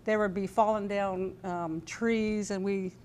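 A woman's voice: medium pitch, steady tone, measured pace, a little mellow. A woman in her sixties from California with an American accent, reminiscing casually and hesitantly in a studio interview. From California, United States. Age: 60s. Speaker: interview guest. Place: TV studio, indoors at a desk. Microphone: lapel mic.

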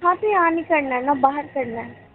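A clearly high, pouty young bride-to-be, played by a woman, chats on a tinny phone line with faint noise. She is casual but insistent, as in an intimate call drama. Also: fairly quick. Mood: pouty, stubborn.

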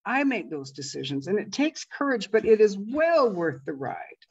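A woman in her fifties or older with a deep, low voice, telling a personal story on a video call. She talks expressively, with loud emphasis and soft asides. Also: sing-song, moderate pace, slightly rough. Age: 50s or older. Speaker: co-presenter of an online master class. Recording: dull, lo-fi video call audio.

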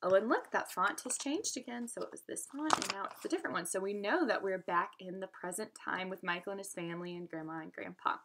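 A woman's voice: mid-range voice, brisk, few pauses, a bit bright, a little hiss or hum. A young adult woman reading a storybook aloud expressively, in an instructive manner, as a teacher does for students. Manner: instructive, addresses listeners with questions.

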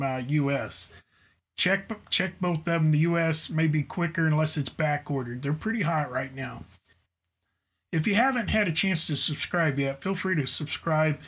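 A man's voice: on the high side, fairly bright tone. A middle-aged man giving an earnest, semi-scripted product review to camera, explaining features and occasionally stumbling over his lines. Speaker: YouTube host reviewing radio gear. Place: indoor studio room with gear shelves.

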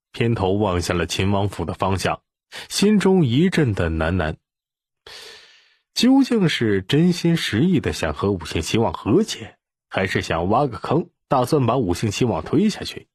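A man's voice: fairly low pitch, a little mellow, husky, no echo, clean studio sound. A man narrating an audiobook as dramatic scripted storytelling.

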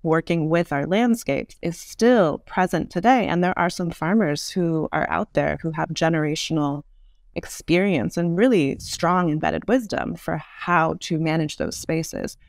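A woman's voice: low-pitched, unhurried, vocal fry, no echo, studio quality. A woman giving thoughtful, articulate explanations as an interview guest, weighing her points with care. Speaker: podcast guest, urban design expert.

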